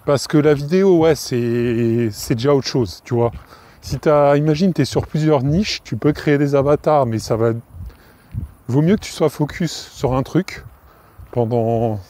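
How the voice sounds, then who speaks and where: A man's voice: mid-range voice, unhurried, dark tone. A French-speaking man with a cold, talking casually and informally to the listener, with many fillers and restarts. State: has a cold, coughing. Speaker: podcast host.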